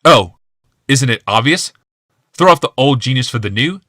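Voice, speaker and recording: A man's voice: mid-range voice, expressive, moderate pace, pushed and bright, no echo, clean studio sound, voice pushed up. A man voicing a bitter, paranoid, ailing male genius in an audiobook, growling and hissing with wrath.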